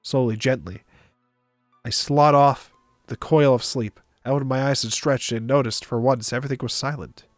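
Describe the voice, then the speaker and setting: Male story narrator, mid-pitched, casual streamer delivery, dry sound over background music. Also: steady tone, moderate pace, dry recording. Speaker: visual novel reader on a stream. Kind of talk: narrating a visual novel aloud, with casual asides. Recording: music behind the voice.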